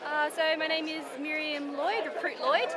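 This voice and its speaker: A woman's voice: high voice, fairly even intonation, moderate pace. An Australian woman from Perth, a graduating recruit, answering a short interview with some hesitation. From Perth, Western Australia. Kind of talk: casual interview. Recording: crowd noise behind.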